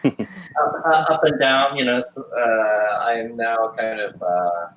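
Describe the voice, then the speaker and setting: A man, answering interview questions thoughtfully with frequent pauses and restarts. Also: mid-range voice, fairly bright tone, echoey room, muffled recording, a little hiss or hum. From Australia. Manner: thoughtful, hesitant, many fillers and restarts. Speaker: guest actor and filmmaker.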